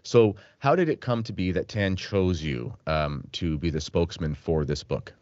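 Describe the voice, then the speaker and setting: A man's voice: low voice, lively intonation, normal speed, a little mellow, no echo, dull audio. A man from Canada hosting an interview podcast, chatty and joking, with frequent fillers. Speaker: host.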